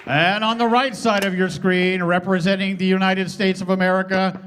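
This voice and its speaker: A man making slow, drawn-out formal introductions of the players before a match. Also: sits high, level tone, fairly bright tone, some chatter behind, slight background noise.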